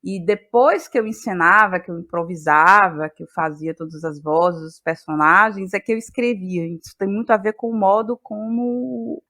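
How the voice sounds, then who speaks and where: A woman with a Brazilian accent from Bahia, answering as an interview guest in a reflective, halting way and often repeating phrases. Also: mid-range voice, pushed and bright. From Salvador, Bahia, Brazil. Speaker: guest, playwright and actress.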